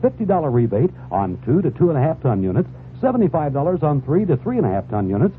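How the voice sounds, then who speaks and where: An actor playing a thrifty, exasperated husband in a radio commercial, emphatic and persuasive. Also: male voice, a little high, very animated, fast. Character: thrifty, exasperated husband pitching cheaper cooling. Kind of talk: 1970s radio commercial dialogue. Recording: tinny, faintly noisy old radio recording.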